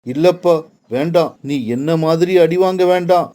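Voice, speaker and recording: A man voicing a father bird gently admonishing his son in a children's cartoon. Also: fairly high pitch, moderate pace, lightly acted. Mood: reproving. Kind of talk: acted children's moral story cartoon.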